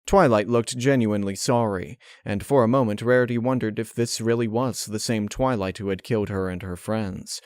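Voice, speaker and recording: A man reading a dark fan-fiction story aloud as scripted narration. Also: fairly low pitch, normal speed, hardly any pauses, clean tone, no room sound, clean studio sound.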